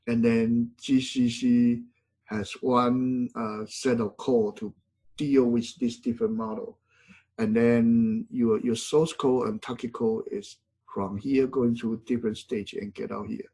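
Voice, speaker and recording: Middle-aged male professor, husky, medium pitch, hesitant with lots of "uh". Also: flat delivery, mellow. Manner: halting, many fillers and self-corrections. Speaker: university lecturer. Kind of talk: classroom lecture.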